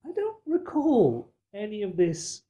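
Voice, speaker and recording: A podcast host in casual discussion, speaking in a measured, carefully qualified, wordy way. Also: medium pitch, huge pitch swings, mellow.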